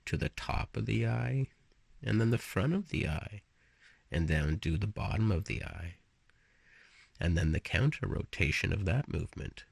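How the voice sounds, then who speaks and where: A man leading a guided relaxation meditation with storytelling, speaking slowly and soothingly in an instructive way with pauses. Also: fairly low pitch, expressive, creaky, husky, no echo.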